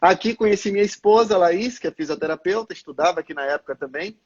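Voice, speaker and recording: A man, a missionary pastor answering interview questions in a measured, explanatory way with frequent filler sounds. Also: sits high, dull audio. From Brazil, Salvador. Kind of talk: live-stream interview answers.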